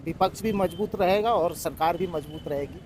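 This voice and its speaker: A man answers a street interviewer. His voice is notably high for a man. There is faint urban noise behind him. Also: normal speed, darkish tone. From Jharkhand, India. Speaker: member of the public being interviewed. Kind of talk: spontaneous opinion in a street interview. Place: outdoors in an urban area.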